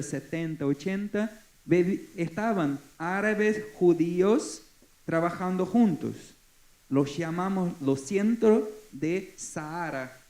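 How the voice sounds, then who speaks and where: A man in his forties from Brazil with a Brazilian Portuguese accent, Portuguese his first language, speaking haltingly as a forum panelist. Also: on the high side, warm tone. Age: 40s. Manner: halting, searching for words. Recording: echoey conference hall.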